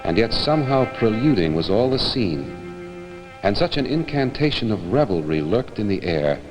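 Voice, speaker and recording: A man giving a measured, theatrical staged reading of literature, as an actor would in a 1960s broadcast. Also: on the low side, big pitch swings. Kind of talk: dramatic literary recitation. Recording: hall reverb with music behind, 1960s broadcast. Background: music.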